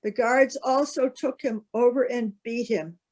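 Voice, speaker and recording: Woman narrator, fairly high voice, solemn scripture reading, measured careful phrasing. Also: steady tone, darkish tone.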